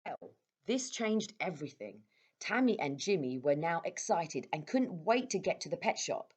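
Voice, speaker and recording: A woman reading a children's story aloud as a storyteller, with expressive delivery. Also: lowish voice, normal speed, husky. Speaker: storyteller at a primary school.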